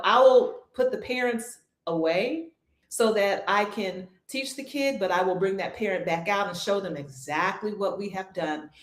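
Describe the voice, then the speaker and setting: Woman swim teacher, interview guest, steady and soothing delivery, echoey room sound. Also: medium pitch. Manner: calm, reassuring, repeats key points. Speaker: swim instructor. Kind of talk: interview answers.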